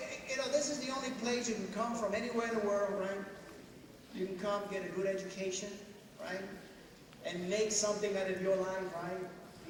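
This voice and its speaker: Lively high-voiced man in an echoey hall. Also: far from the mic, a little hiss or hum. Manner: animated, asks the crowd many questions, bursts into shouts. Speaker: guest speaker at a school assembly. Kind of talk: motivational speech to students. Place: large school hall. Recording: echoey hall, mic heard from afar.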